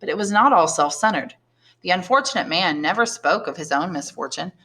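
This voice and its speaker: A woman reading a novel aloud as a teacher would, in expressive, measured and carefully phrased narration. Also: fairly low pitch.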